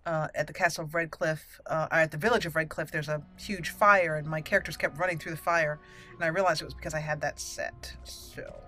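A woman's voice: deep voice, steady tone, a little fast, sharp tone, soft background music. A woman hosting a gaming channel, giving casual, playful let's play commentary and talking to the characters. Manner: playful, talks to game characters.

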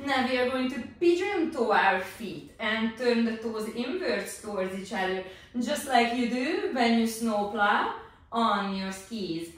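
Slow, calm woman in an echoey room. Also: fairly high pitch, distant mic. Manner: calm, unhurried cueing with many fillers. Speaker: pilates instructor. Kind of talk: guided exercise instruction. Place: home exercise studio, indoors. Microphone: far camera.

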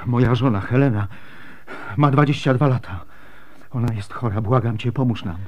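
An actor playing a desperate, devoted soldier husband with a temper, earnest and intense, in a Polish radio drama. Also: male voice, mid-range voice, moderate pace, a little mellow, natural voice. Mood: desperate, demanding.

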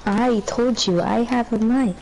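A woman's voice: fairly high pitch, a little slow, gravelly, darkish tone, a little hiss or hum, low-quality recording. A woman chatting casually with other players during an online game, throwing out dry, teasing quips. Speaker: player in voice chat.

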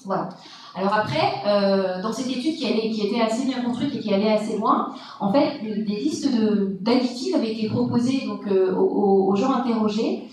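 A woman lecturer explains food science in a measured, halting way, her slightly high voice ringing through a very echoey hall. She sounds distant from the mic, with faint room hum behind her. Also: darkish tone. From Brittany, France. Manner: measured, explanatory, with frequent hesitations. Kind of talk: public science talk.

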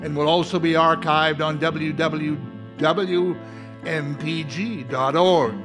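A dry spooky radio horror host, really slow formal announcer read, loud music under him. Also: male voice, a little high. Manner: deliberate, theatrical, formal. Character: dry, ghoulish male horror-show host. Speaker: announcer reading credits. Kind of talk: scripted old-time radio horror hosting.

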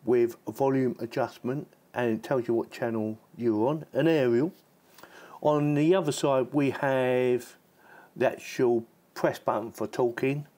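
A man's voice: medium pitch, steady tone, measured pace, dark tone. A man giving a casual, chatty hands-on product demonstration, informal and given to repeating himself. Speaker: product reviewer.

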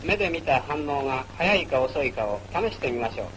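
A man's voice: mid-range voice, lively intonation, brisk, noisy background. A man narrating a wartime military training film in a formal, instructional manner, on an old film soundtrack. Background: music.

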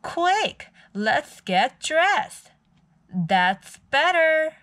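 A woman's voice: sits high, very animated, extremely slow, a bit bright. A woman reading a picture book aloud for young children as a storytime host.

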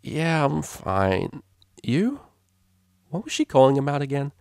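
A man's voice: medium pitch, huge pitch swings, unhurried, vocal fry, darkish tone, no room sound, clean studio sound, pitched above the performer's own voice, exaggerated voice. A man voicing a drunk, affectionate female unicorn DJ pony in a storybook reading, rambling and hesitant in informal speech. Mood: woozy.

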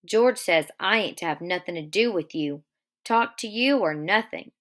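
A woman reading a novel aloud as a childlike, simple-minded, fearful male farmhand with halting rural speech. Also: fairly low pitch, lively intonation, close to an everyday voice. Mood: guarded, obedient.

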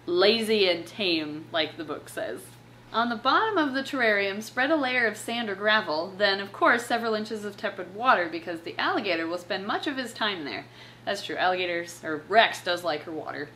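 A young woman chatting casually and reading aloud as a reptile channel presenter, with an animated, playfully sarcastic manner. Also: medium pitch, a little hiss or hum. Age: young adult. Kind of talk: casual chat with read-aloud passages and commentary. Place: living room couch at home, indoors.

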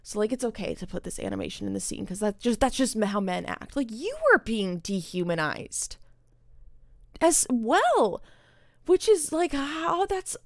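A woman's voice: on the high side, vocal fry, darkish tone, no echo, clean studio sound. A young adult woman giving casual, opinionated commentary as a gaming streamer, speaking earnestly and emphatically with some swearing. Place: indoor streaming setup.

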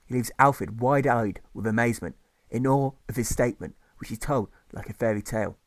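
A man's voice: mid-range voice, brisk, no echo. A man narrating his own rhyming novel as an audiobook in a rhythmic, sing-song reading.

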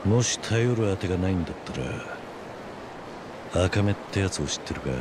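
ゲームのカットシーンで、落ち着いて凄みのある、ぶっきらぼうな中年男を演じる男性俳優。. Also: male voice, low voice, expressive, moderate pace, background noise. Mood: calm, helpful. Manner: gruff, terse, composed. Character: tough middle-aged man, calm, stern, quietly threatening fighter. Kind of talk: video game cutscene acting.

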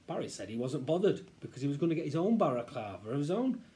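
A man's voice: on the high side, very animated, quick pace, mellow. A middle-aged man with a British accent reading a children's story aloud expressively, recorded at a desk in a small office.

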